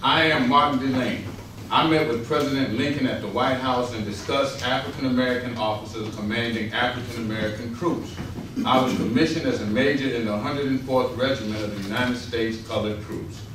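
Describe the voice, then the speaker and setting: A man's voice: medium pitch, fairly even intonation, brisk, natural voice. Man reading as Civil War major, echoey and distant. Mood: formal, proud. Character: proud male Civil War army major. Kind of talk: readers theater first-person monologue. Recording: distant, echoey hall, clear noise.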